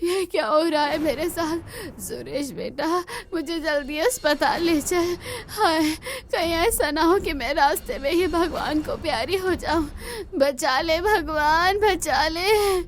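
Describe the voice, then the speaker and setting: A woman's voice: extremely high pitch, takes it slowly, pitched above the performer's own voice, heavily acted. A woman voicing an ailing elderly mother, crying and gasping as she pleads in fear, in a melodramatic cartoon story. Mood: panicked pleading. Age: older. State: crying, short of breath.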